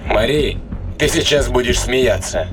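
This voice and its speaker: A man playing a gruff, defiant spaceship captain in an audio drama, blunt and commanding in the way he talks. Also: medium pitch, expressive, a little slow, music in the background, natural voice. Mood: resolute.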